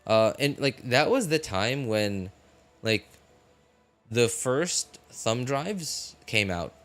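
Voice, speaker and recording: A man in his thirties chatting casually as he streams a game, halting and repeating himself as he reminisces. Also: medium pitch, animated, a bit bright. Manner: halting, reminiscing, many hesitant fillers and repeats. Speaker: livestreamer. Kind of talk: casual rambling chat while streaming a game. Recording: game music playing behind the voice. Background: video game music and character dialogue.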